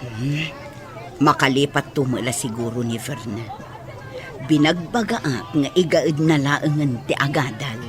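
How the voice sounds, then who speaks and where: An actor voices an easygoing middle-aged parent in an Ilocano radio drama. The voice is mid-pitched and consoling, set against a clear outdoor crowd. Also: unhurried, music in the background, close to an everyday voice. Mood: reassuring.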